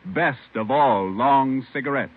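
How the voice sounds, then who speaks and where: A man's voice: mid-range voice, slow. A man with an American accent making formal scripted announcements in old-time radio style. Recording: muffled old radio recording, faint music.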